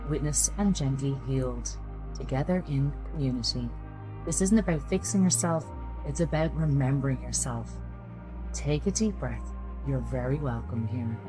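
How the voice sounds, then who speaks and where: A woman hosting a healing podcast, soft and affirming, speaking gently to her guest and listeners. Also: deep voice, poor audio. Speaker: podcast host and energy practitioner. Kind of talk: gentle scripted intro, then casual chat.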